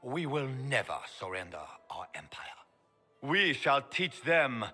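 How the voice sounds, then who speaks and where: Proud martial emperor: forceful, declamatory game speech in a long reverberant hall, music underneath. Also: male voice, medium pitch, big pitch swings, slightly rough, full character voice. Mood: proud, resolute. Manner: pompous, declamatory, deliberate. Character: proud warlike emperor addressing his court. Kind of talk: grand ceremonial oratory.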